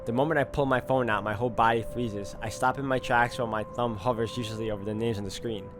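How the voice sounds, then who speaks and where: A man with a medium-pitched voice narrates a visual novel in the first person. He is measured and introspective, with music playing faintly behind him. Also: steady tone.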